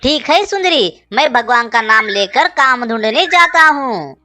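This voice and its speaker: Humble crow husband for kids' animation, played by a woman: bright, swooping, earnest and pleading. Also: fairly high pitch, animated, measured pace, soft background music. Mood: worried, pious. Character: meek, pious, jobless male crow husband. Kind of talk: children's cartoon voice acting in Hindi.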